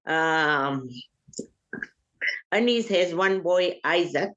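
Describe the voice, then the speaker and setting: An older woman from Uganda, a family elder being interviewed, talking in an unhurried, hesitant way with frequent pauses. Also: on the low side, animated, fairly bright tone, muffled recording.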